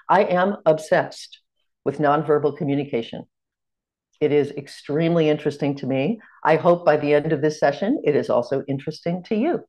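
Middle-aged american lady running an online class on a video call, calm and welcoming. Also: low voice. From United States. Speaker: teaching workshop facilitator. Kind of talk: online lecture.

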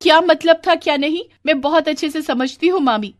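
A woman's voice: high voice, fairly quick, no room sound, clean studio sound, voice pushed up, lightly acted. A woman voicing a vain, sneering young woman in a cartoon story, snide and haughty. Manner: snide, haughty, sing-song mockery.

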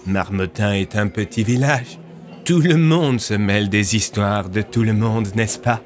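A man's voice: lowish voice, a little slow, a little hiss or hum, close to an everyday voice. A man playing a composed, dry male character in an audio drama village mystery. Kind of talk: acted audio drama dialogue.

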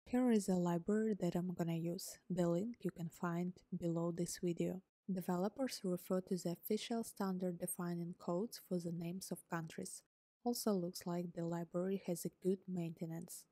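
A woman whose first language is Ukrainian, calmly narrating a scripted coding tutorial step by step as host. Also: lowish voice, normal speed, no room sound. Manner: calm, step-by-step explaining. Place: voice-over on screen recording.

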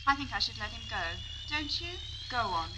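A woman playing a hard-headed, sharp-tongued young space adventurer in a science-fiction audio drama. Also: a little high, animated, a little fast, close to an everyday voice. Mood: cold, threatening. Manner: blunt, sardonic. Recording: music behind the dialogue.

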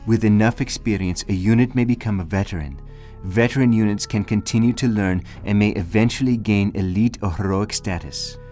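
A man voicing a measured, dutiful military battlefield advisor in scripted announcements for a strategy video game. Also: lowish voice, darkish tone, lightly acted. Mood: measured, instructive. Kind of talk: scripted game tutorial and status alerts. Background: music.

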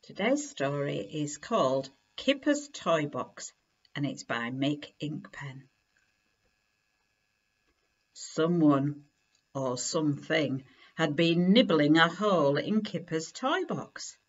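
Slow, animated woman storyteller. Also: sits low, muffled recording. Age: middle-aged. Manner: deliberate, expressive. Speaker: story reader. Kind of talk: children's picture-book reading. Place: living room at home, indoors.

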